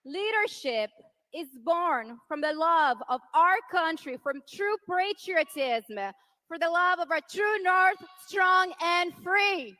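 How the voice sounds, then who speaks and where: A woman in her thirties from Venezuela, giving an impassioned political rally speech that rises to rousing shouts. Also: very high-pitched, expressive, slow. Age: 30s. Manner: impassioned, rising to rousing shouts on key lines. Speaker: politician's wife speaking at a rally.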